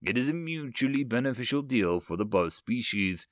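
A man voicing a calm, collected human chancellor in his thirties in a story, measured and diplomatic. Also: medium pitch, no echo, clean studio sound, close to an everyday voice. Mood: composed, pleased.

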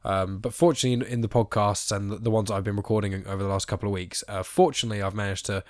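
A young man with a stuffed-up nose from hay fever hosts a chat podcast, talking quickly with plenty of ums and asides. His voice sits somewhat low, and the recording is close and dry, with no room sound. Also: fairly even intonation, darkish tone, dry recording. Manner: rambling, many ums and sort-ofs. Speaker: podcast host.

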